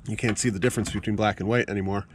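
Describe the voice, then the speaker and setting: A fairly low-voiced man in his thirties talks to an action camera held at arm's length while sitting in a kayak on a lake. His relaxed, explanatory delivery is full of fillers as he thinks aloud. Clear outdoor noise runs under the camera audio. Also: very fast. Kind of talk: casual outdoor demonstration.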